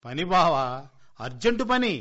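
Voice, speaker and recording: High, swooping wheedling freeloader. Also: male voice, big pitch swings, normal speed, bright, forceful tone. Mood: pleading, hurried. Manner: pleading, pushy. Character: wheedling, insistent freeloading male relative. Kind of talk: Telugu radio comedy play acting. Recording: dull, lo-fi radio play recording.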